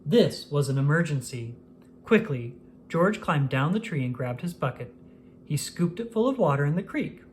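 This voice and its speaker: A narrator reading a children's picture book aloud in an expressive, scripted storytelling style. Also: mid-range voice, moderate pace, slight background noise. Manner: expressive, acts out exclamations and dialogue.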